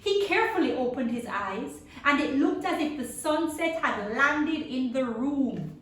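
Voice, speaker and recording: A woman reading a story aloud to young children in an expressive, dramatic way, drawing out words and sounds and putting questions to her listeners. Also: high voice, a little slow. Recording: very echoey room, distant mic.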